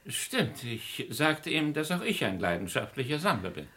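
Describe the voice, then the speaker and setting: A man's voice: mid-range voice, sing-song, moderate pace, pushed and bright. An actor playing a busy, obliging businessman and art collector in a German radio crime play, polite, composed and formal.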